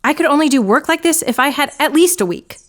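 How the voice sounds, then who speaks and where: An actress playing a frazzled female knitter confessing in an audio drama dark comedy, with a theatrical, wry, confiding manner. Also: high voice, lively intonation, fast. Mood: amazed, relieved.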